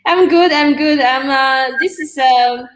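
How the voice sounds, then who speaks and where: A woman from China, whose first language is Chinese, speaks English with a Chinese accent as a talk show guest. She is soft-spoken and hesitant, with fillers. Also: high voice, slow, echoey room. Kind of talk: casual interview.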